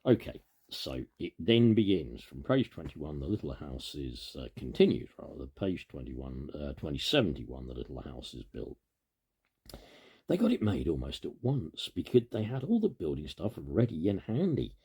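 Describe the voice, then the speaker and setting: A man reading a children's story aloud in an animated way, with informal asides and a gravelly, husky voice. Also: rumbling low, moderate pace. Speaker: storybook reader.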